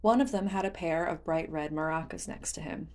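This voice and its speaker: A woman's voice: sits low, a little fast, darkish tone. A woman reading scripted story narration as the narrator of a fan fiction audiobook.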